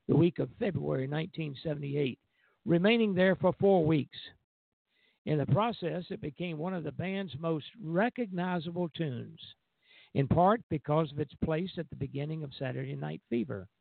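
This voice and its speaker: A man with a fairly high, gravelly voice hosts a music show, reading background notes aloud. His delivery is halting, with small stumbles over the text. The sound is thin and narrow like a phone call, with very little room around it. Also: no echo. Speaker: radio show host.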